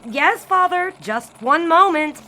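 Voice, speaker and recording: A woman's voice: very high voice, expressive, slow, background noise, full character voice. A woman playing an earnest young daughter who narrates football history like a sportswriter in a scripted radio drama. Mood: dutiful, put-upon.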